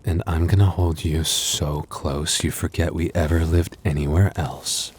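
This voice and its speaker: A man playing a very deep-voiced werewolf boyfriend. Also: steady tone, measured pace, husky, full character voice. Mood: happy, affectionate.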